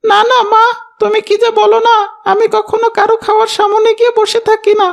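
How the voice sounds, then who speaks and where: A woman's voice: extremely high pitch, monotone, normal speed, gravelly. A woman voicing an obedient, eager young village boy in a cartoon, childlike and earnest as the child talks to parents. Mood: protesting. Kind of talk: cartoon voice acting.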